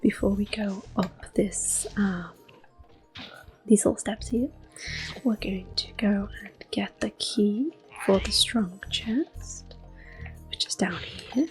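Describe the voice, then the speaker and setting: A woman giving gentle, hushed ASMR commentary on a video game, slipping between soft speech and whispers. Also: mid-range voice, slow, rough recording. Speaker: host playing a video game. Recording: soft game music behind. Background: quiet game music.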